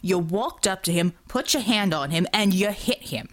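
A woman's voice: on the low side, animated, normal speed. A woman voicing a stern, exasperated male superhero leader in an audiobook, with a formal, reproving manner. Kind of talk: audiobook character dialogue.

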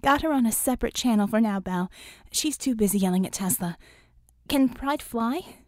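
A woman's voice: on the high side, animated, normal speed, darkish tone, no room sound, studio quality, natural voice. A woman voicing a tense, urgent female dispatcher for superheroes, clipped and commanding, in an audiobook. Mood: hurried.

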